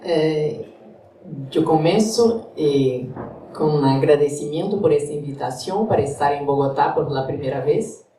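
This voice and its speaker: A woman's voice: low voice, measured pace, dark tone, a little hiss or hum. A Brazilian woman, Portuguese her first language, giving an academic lecture with a Brazilian Portuguese accent, careful and searching for words in a second language. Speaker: guest lecturer. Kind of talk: academic lecture, partly read aloud. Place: university lecture hall. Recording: echoey hall from far off.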